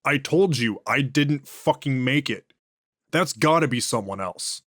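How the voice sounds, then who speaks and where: A man's voice: medium pitch, lively intonation, measured pace, fairly bright tone, dry recording, clean studio sound. A man voicing a foul-mouthed male prisoner who answers his interrogator in an agitated, profane way. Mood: defensive.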